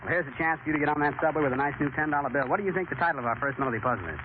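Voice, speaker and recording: A man's voice: a little high, very fast. A man hosting a 1930s radio quiz show with bantering patter. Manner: bantering, repeats contestants' answers. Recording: tinny, hissy 1930s radio recording.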